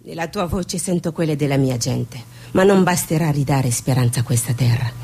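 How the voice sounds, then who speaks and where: A woman's voice: sits low, normal speed, darkish tone, a little hiss or hum. An actress playing a grave, proud, fatalistic Somali woman seer in a stage drama. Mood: bitter, solemn. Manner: solemn, fatalistic.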